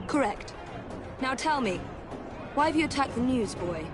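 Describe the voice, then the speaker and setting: Young game adventurer, brisk n bossy, dry, loud music behind. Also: female voice, high voice, lively intonation, over a noisy crowd. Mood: stern. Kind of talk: video game cutscene acting.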